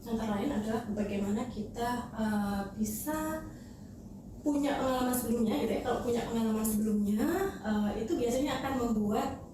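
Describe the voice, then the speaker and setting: A woman's voice: fairly high pitch, brisk. A woman explaining things in a casual podcast talk, hesitantly and with English terms mixed in. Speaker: talent management manager, podcast guest. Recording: very echoey room, distant mic, noisy.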